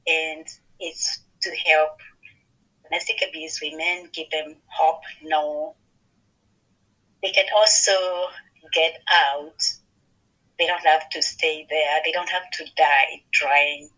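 A woman's voice: low voice, steady tone, slow pace, pushed and bright, poor audio. A woman from Kenya, a podcast co-host, talking earnestly and reflectively about her faith and work. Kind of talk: faith-based podcast conversation.

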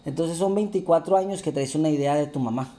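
A man's voice: fairly high pitch, quick pace, mellow. A man in his thirties with a Mexican Spanish accent, co-hosting a casual chat with his wife, joking and asking many questions. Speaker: podcast co-host.

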